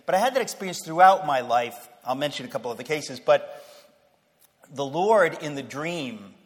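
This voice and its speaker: A man's voice: fairly high pitch, lively intonation, moderate pace. Joking, restarting man in a reverberant hall. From Queens, New York. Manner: conversational and humorous, with stammered restarts and rising emphasis. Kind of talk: casual personal talk.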